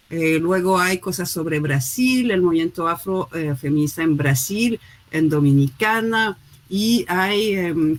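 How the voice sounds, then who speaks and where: Hesitant woman author on a video call. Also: on the low side. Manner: hesitant, many fillers and restarts. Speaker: author presenting her book. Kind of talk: casual spoken book presentation.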